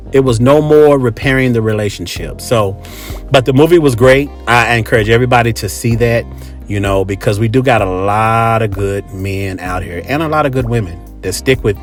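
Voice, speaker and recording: An American man hosting a podcast, giving a casual, opinionated monologue in an emphatic, repetitive, slangy way. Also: mid-range voice. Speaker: podcast host.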